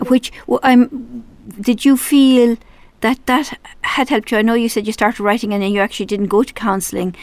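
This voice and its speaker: A woman's voice: fairly high pitch, fairly even intonation, moderate pace, hardly any pauses, no echo. Dry-voiced woman radio host. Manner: friendly, encouraging, frequent affirmations. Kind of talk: radio interview hosting. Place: radio studio.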